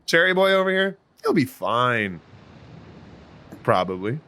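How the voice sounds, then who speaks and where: An actor playing a cocky, callous vampire mentor in an audio drama, with a flippant, sarcastic way of talking. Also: male voice, mid-range voice, very animated, a little slow, sharp tone, smooth. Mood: brash, dismissive.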